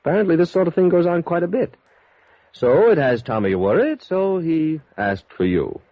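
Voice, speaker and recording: Male: fretful businesslike adjuster in vintage radio drama, rough-edged voice, animated, muffled dry recording. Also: on the high side, moderate pace, dry recording. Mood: concerned. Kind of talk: 1950s radio drama acting.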